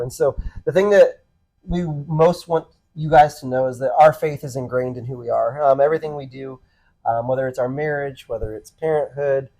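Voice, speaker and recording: A man's voice: mid-range voice, a little mellow, poor audio. A man in his thirties co-hosting a podcast, talking in an easygoing, earnest way with frequent ums and restarts. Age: 30s. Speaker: podcast co-host, pastor. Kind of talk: casual conversational podcast chat.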